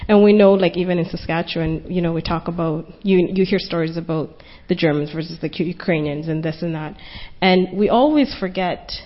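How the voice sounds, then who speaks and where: A woman panelist speaking earnestly and reflectively at a conference panel discussion, thinking aloud as she goes. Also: on the low side. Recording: dull lo-fi conference recording.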